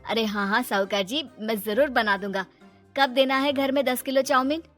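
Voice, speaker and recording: A woman voicing an honest, polite and eager noodle-cart seller, a man, in an animated moral story. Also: fairly high pitch, moderate pace, faint music behind, lightly acted. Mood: obliging. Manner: polite, obliging.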